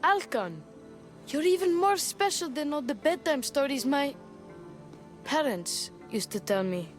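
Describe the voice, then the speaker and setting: Small boy for a game: high, animated, earnest, polite, a little worried. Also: measured pace, soft background music. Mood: shy, admiring. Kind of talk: dramatic video game acting.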